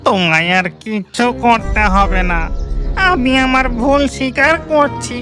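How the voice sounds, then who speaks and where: A woman's voice: on the high side, animated, measured pace. A woman voicing a cowardly, mischievous male ghost in a cartoon, whining and exclaiming in panic. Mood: frightened, pleading. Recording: music under the voice.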